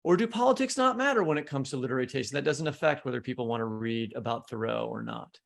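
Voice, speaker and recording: A man's voice: mid-range voice, animated, fast talker, gravelly. An American man co-hosting a podcast, putting questions to a guest in an informed, conversational way. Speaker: podcast co-host, interviewer. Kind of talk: interview questioning.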